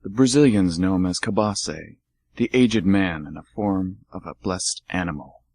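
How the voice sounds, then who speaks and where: Man with a lowish voice, solemn narrator. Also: creaky, darkish tone, no echo. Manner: solemn, deliberate mock-epic narration. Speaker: radio theatre co-host and performer. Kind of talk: amateur radio drama narration.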